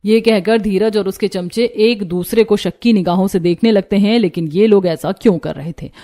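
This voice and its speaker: A woman's voice: mid-range voice, normal speed, mellow. A woman narrating a scripted cartoon story as its storyteller.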